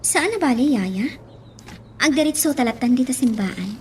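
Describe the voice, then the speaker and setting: A woman's voice: on the high side, lively intonation, normal speed, darkish tone, slight background noise. A young woman acting a pleading, earnest daughter in an Ilocano radio drama.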